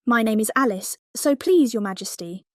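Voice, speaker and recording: Woman playing a storybook girl, high-pitched, young, well-mannered, curious, decided, dry close sound. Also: expressive, moderate pace, dry recording, natural voice. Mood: polite, curious. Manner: polite, bright-spirited, decided. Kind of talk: storybook character voice.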